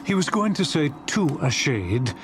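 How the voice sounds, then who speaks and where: Slow, highly animated male storyteller. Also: noisy background. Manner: theatrical, deliberate, suspenseful. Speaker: narrator. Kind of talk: dramatic scripted storybook narration.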